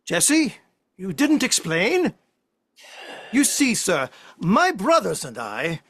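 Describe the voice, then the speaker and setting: Man as high, slow, deferential period businessman. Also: huge pitch swings, fairly bright tone, slightly rough, full character voice. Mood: hesitant. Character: polite, deferential 19th-century businessman. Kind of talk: amateur play table reading.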